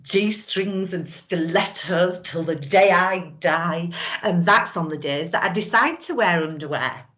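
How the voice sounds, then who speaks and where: Woman acting a brazen, slow-talking middle-aged widow. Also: fairly low pitch, a bit bright. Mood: wistful, defiant. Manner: knowing, suggestive, with drawn-out pauses. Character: brazen, wistful middle-aged widow, former man-magnet. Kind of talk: theatrical dramatic monologue.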